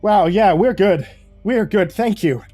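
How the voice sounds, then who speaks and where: A man voicing an excitable, bewildered young new hire who stammers and swears. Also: high-pitched, a little slow, no room sound, faint music behind, pitched above the performer's own voice. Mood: grateful. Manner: stammering, sputtering.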